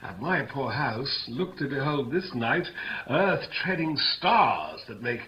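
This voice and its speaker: A man's voice: mid-range voice, sing-song, fairly bright tone. An actor playing a genial, stately older noble father, declaiming verse in a 1950s radio Shakespeare play. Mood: hospitable. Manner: declamatory, drawn-out verse delivery. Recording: muffled old radio recording with hiss.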